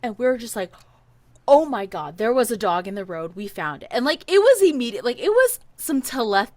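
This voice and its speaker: A young woman from Hawaii co-hosting a podcast, telling a story in a lively, laughing way. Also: a little high, fairly quick, no echo. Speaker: podcast co-host. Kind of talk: casual storytelling.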